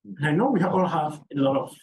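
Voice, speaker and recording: A man's voice: a little high, lively intonation, fast talker. A man from Cameroon giving a seminar lecture, explaining by repeating key points and asking rhetorical questions. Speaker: academic presenter. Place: lecture room. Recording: roomy, from a distance, faint background noise.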